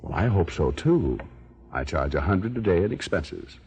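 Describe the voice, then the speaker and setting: An actor playing a flippant American private detective in 1940s radio style, with glib, deadpan quips. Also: male voice, deep voice, moderate pace. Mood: flippant, teasing.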